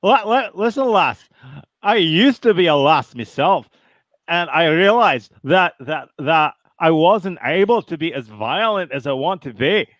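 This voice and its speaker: Guy doing a scottish accent, gruff glasgow tough guy, slow menacing drawl, kinda high voice. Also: dry recording. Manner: gruff, menacing deadpan threats. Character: violent boastful Glasgow man. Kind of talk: improv comedy scene.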